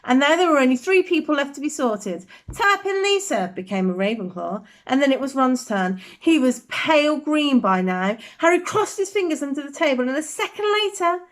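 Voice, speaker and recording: A woman reading a children's bedtime story aloud with expressive, dramatic emphasis. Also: high voice, normal speed, hardly any pauses.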